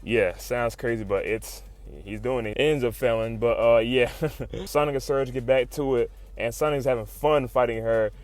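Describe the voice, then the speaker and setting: A man giving a casual, excited recap as a video host, full of slang, swearing and animated exclamations. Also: medium pitch, normal speed. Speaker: YouTube host recapping comics.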